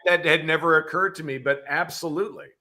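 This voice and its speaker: A man's voice: on the high side, fairly even intonation, quick pace, bright, forceful tone. A man chatting casually as a podcast panelist, blunt and emphatic, with a salty way of talking. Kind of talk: casual chat.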